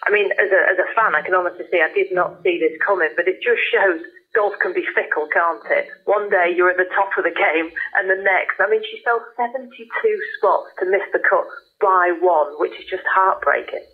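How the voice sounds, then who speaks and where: A woman's voice: lowish voice, brisk, husky. A female golf broadcaster speaking conversationally as an expert guest. Her voice comes through a narrow, phone-like radio connection that sounds dull and lo-fi. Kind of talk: conversational sports punditry.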